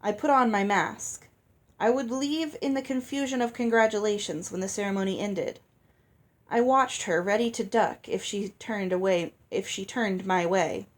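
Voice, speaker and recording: Medium-pitched woman reading a storybook aloud. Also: moderate pace. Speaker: audiobook reader. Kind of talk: storybook narration, read aloud.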